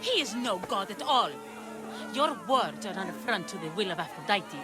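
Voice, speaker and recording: A woman's voice: medium pitch, very animated, normal speed, natural voice. An actress playing a cold, disdainful woman priestess. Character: stern woman priestess of a temple. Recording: game cutscene with loud music.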